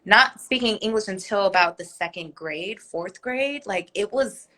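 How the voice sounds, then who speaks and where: A woman's voice: medium pitch, unhurried, pushed and bright. A woman whose first language is Korean, speaking as a guest in a casual interview over a video call, earnest and reflective. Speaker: guest, business owner.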